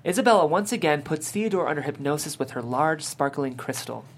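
A woman's voice: sits low, fairly quick. A woman reading scripted stage directions as narrator of a table read.

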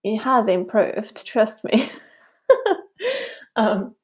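A woman's voice: mid-range voice, extremely slow, slightly rough. A middle-aged woman from Switzerland with a Swiss German accent, hosting a casual solo podcast in a chatty, upbeat way with frequent fillers. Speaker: podcast host.

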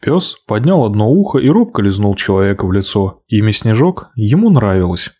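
A man reading a fairy tale aloud, expressive, slowing and hushing for the sad passages. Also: fairly low pitch, breathy edge. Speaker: narrator. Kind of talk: storybook reading.

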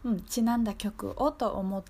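Lady radio host, casual solo talk, polite n thoughtful, kinda musing out loud. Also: medium pitch, moderate pace, slightly rough. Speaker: radio show host and singer-songwriter.

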